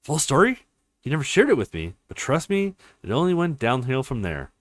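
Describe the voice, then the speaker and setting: A man's voice: medium pitch, very animated, a little fast, no echo, close to an everyday voice. A man voicing a bitter, blunt young woman fighter from a visual novel, with a reproachful way of talking. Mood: grim revealing.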